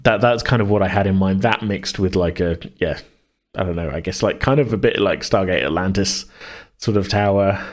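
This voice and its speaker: A man co-hosting a casual worldbuilding chat, hesitant and rambling as he thinks out loud. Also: deep voice, moderate pace. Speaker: podcast co-host.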